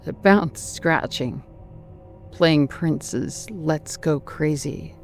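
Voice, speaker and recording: A narrator telling a scripted slasher horror story in a deliberate, menacing, dramatic way with theatrical pauses. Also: mid-range voice, over loud music.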